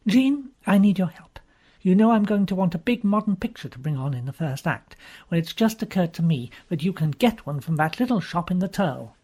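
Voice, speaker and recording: A woman reading an audiobook, voicing a cool, sensible woman with a calm, brisk and kindly manner. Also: fairly low pitch, slightly rough, pitched above the performer's own voice.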